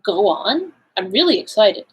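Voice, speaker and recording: A woman voicing an earnest, excitable gentleman, a detective's eager and questioning companion, in an audiobook. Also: medium pitch, moderate pace, highs cut off, lightly acted. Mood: excited, incredulous. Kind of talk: audiobook character dialogue.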